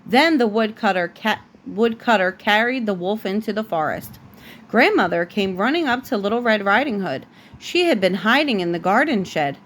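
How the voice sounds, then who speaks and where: A woman reading a children's bedtime story aloud like a parent, with expressive storytelling. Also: mid-range voice, moderate pace.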